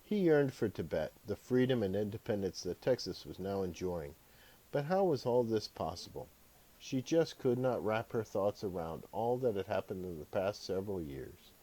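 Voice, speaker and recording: Low-pitched man reading his novel aloud. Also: animated, normal speed, mellow, rough recording. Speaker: author reading own book aloud. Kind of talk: audiobook narration of fiction.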